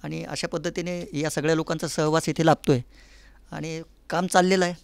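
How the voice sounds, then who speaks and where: A man with a Maharashtrian accent speaks as an interview guest. His voice sits fairly high, and he explains earnestly, listing examples as he goes. The studio sound is very dry, with no room around it. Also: level tone, normal speed, creaky, no echo. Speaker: interview guest, folk artist and academic department head. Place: recording studio.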